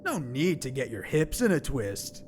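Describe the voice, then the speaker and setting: Man playing a cocky teenage animal boy, lively delivery. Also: medium pitch, moderate pace, a little hiss or hum, close to an everyday voice. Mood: snappy. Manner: brash, sarcastic, swearing. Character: cocky, hot-tempered teenage boy, a clawed furry animal. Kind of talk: audio drama acting.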